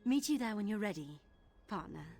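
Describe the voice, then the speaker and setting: A woman playing an earnest young thief in a video game, with a heartfelt, expressive delivery. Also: medium pitch, brisk, soft background music. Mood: eager, wistful. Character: earnest young woman thief seeking her late father's treasure. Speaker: game character. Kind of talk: dramatic game dialogue.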